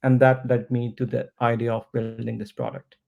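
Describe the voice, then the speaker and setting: A man whose first language is not English, answering interview questions about his product in a slow, thoughtful way with many pauses and restarts. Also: mid-range voice, flat delivery, creaky, warm tone. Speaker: startup founder, interview guest. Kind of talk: podcast interview.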